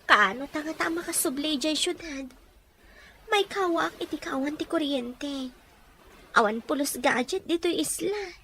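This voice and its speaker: A woman's voice: high voice, measured pace, sharp tone, heavily acted. A woman with an Ilocano accent playing a grumbling, suspicious woman in a radio drama. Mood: complaining.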